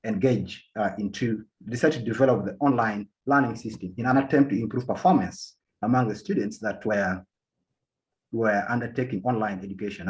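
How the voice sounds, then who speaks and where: A man from Uganda giving an academic policy talk as a panel speaker, halting often and repeating words as he restarts his sentences. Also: fairly low pitch. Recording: dull lo-fi sound.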